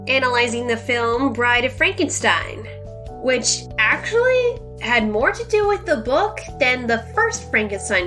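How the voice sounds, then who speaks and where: A woman's voice: on the high side, bright, forceful tone. A woman hosting a book review, narrating in a conversational, semi-scripted way with emphatic, informal asides. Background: music under the voice.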